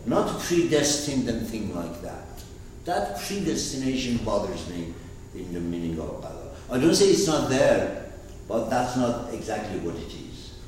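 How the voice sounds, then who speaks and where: A man's voice: lowish voice, very animated, unhurried, darkish tone, slight background noise. Man, Arabic accent, teacher, rambling, very echoey, distant mic. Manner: digressive, asks the room questions. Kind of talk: explaining terms to a class. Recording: roomy, far from the mic.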